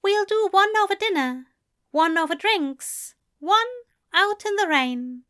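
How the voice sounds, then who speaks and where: A gentle male angel speaks in a high, smooth, warm voice, dreamy and adoring, as a woman reads him aloud. He talks slowly and softly, full of fondness. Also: exaggerated voice.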